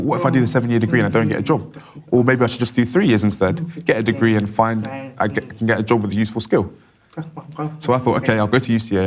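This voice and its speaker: A man interpreting for a deaf guest, speaking quickly in the first person. His voice sits fairly low, and he strings short clauses together in a steady flow. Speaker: sign language interpreter voicing a deaf guest.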